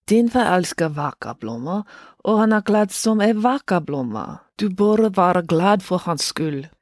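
A woman's voice: fairly low pitch, lively intonation, measured pace, a little mellow, dry recording, clean studio sound. Woman voicing a stern, scolding woman. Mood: disapproving. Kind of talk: children's audiobook character voice.

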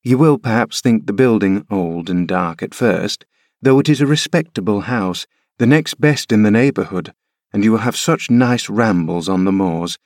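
A man's voice: fairly low pitch, normal speed, darkish tone, no echo, studio quality, natural voice. A man reading aloud as a kindly, practical housekeeper who coaxes and reassures a child. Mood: reassuring. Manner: coaxing, reassuring.

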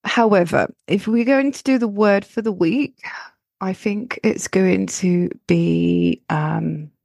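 A woman astrologer hosting her own podcast, her voice croaky from illness, chatting and rambling with frequent restarts and fillers. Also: mid-range voice, a little mellow, dry recording, clean studio sound. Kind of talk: solo podcast talk.

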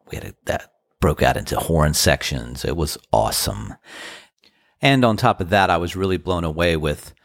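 A man in his fifties talks in a very low, dry voice, close and free of room sound. He rambles warmly through a reflective podcast monologue, his voice still tired and deep after a show. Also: no room sound. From Washington DC area. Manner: earnest, rambling, grateful storytelling. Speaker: podcast host and band musician.

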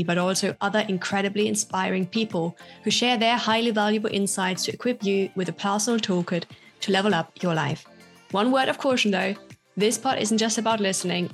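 A woman in her thirties with a slight German accent that leans toward British English, hosting her own podcast. She talks in an upbeat, chatty, energetic way at a middle pitch, as if telling friends her story. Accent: German, with British English touches. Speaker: podcast host and coach. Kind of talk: casual solo podcast storytelling.

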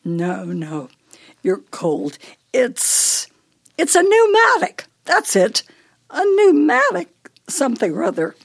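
An actress playing a forgetful elderly wife in a radio play, teasing and fretful with a deliberate, wistful manner. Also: female voice, sits high, wildly swooping pitch, heavily acted. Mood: playful, muddled.

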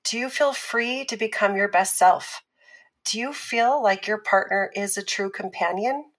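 Measured woman relationship expert played by an actress. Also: medium pitch, natural voice. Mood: measured, advisory. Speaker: expert guest on a talk show. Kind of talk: scripted interview in a fiction podcast.

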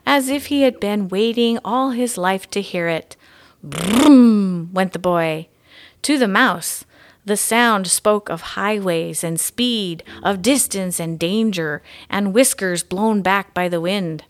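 A woman's voice: mid-range voice, slow. A woman reading to children as a guest audiobook narrator. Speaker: guest story reader.

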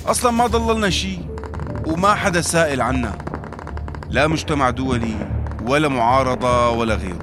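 An actor playing a determined rescuer from the Damascus countryside, speaking with a Syrian accent in an earnest, coaxing way with heavy sighs. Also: male voice, a little high, natural voice. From Madaya, Syria. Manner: earnest, coaxing, sighing heavily. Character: determined male rescuer, warm, protective, stubbornly hopeful. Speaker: civil defense rescuer. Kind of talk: radio drama acting. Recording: music bed behind the voice. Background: music.

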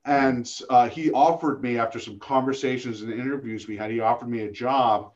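Gravelly-voiced man rambling as a guest. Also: medium pitch, fairly even intonation, brisk, highs cut off. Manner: rambling, self-deprecating, frequent restarts. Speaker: podcast guest. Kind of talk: casual interview chat.

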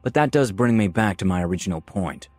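A man voicing a cool, sardonic male assassin in a fantasy story, speaking in a flat, deliberate way. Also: low-pitched, no echo. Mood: matter-of-fact. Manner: soft, flat, deliberate, matter-of-fact. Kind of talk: dramatised fantasy story reading.

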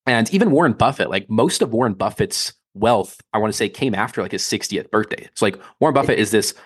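A man's voice: on the low side, expressive, fast talker, husky. A man co-hosting a podcast, explaining in a loose, casual way full of fillers. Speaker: podcast co-host. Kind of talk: casual chat.

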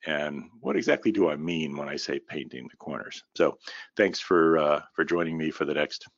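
A man hosting a business podcast alone, talking in a folksy way with many fillers, his voice a little raspy and tired. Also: a bass voice, moderate pace, no room sound, lo-fi sound. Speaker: podcast host and company founder. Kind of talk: solo business podcast monologue.

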